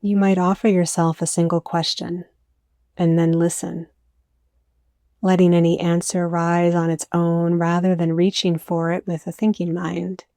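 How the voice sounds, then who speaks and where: A woman leading a guided meditation, speaking gently and soothingly in scripted, softly inviting phrases. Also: lowish voice, little pitch movement, a little slow, no room sound, clean studio sound.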